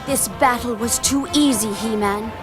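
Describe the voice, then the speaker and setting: Lady doing young warrior woman, high earnest urgent cartoon voice, music behind. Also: normal speed, close to an everyday voice. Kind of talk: 1980s children's cartoon acting.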